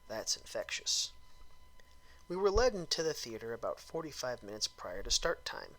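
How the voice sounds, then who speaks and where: A man's voice: mid-range voice, very animated, normal speed, a bit bright, poor audio. A man giving a comedic, theatrical dramatic reading of a written review, with exaggerated emphasis and phrases that drop into breathiness. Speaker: performer reading out a film review.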